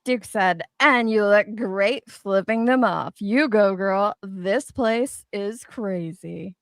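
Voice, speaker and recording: A woman's voice: mid-range voice, slow pace, smooth, no room sound, studio quality. A woman co-host giving playful, sweary dramatic readings of online comments, with banter in between.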